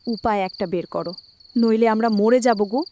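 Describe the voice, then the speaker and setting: High-pitched worried village wife played by a woman. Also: normal speed, warm tone, slight background noise, lightly acted. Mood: anxious pleading. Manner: pleading, complaining. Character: worried, scolding poor village wife and mother. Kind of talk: dramatic cartoon voice acting.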